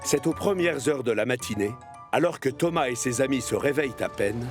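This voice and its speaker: A man's voice: mid-range voice, animated, brisk, lightly acted. A man narrating a children's cartoon as a scripted storybook tale. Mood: storytelling. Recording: music bed behind the voice.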